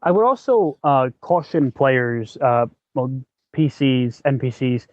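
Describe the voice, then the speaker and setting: A man hosting a podcast, giving casual advice in a choppy, halting cadence. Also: medium pitch, lively intonation, darkish tone, no echo. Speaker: podcast host. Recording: dull, lo-fi close audio.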